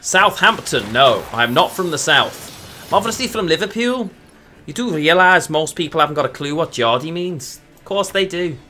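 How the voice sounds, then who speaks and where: English guy chatting to his stream while he plays cards, bit higher voice, bright and forceful, lots of mm-hmms. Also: lively intonation, normal speed, soft background music. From England. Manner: thinks aloud, mutters fillers, answers chat. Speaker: streamer playing a card game. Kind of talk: casual live-stream chat while playing.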